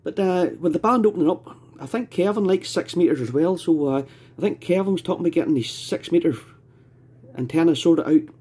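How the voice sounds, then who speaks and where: A Scottish man with a husky voice, chatting casually as an amateur radio operator. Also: a little high, a little fast, dark tone. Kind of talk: casual two-way radio chat. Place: indoors at a home radio desk.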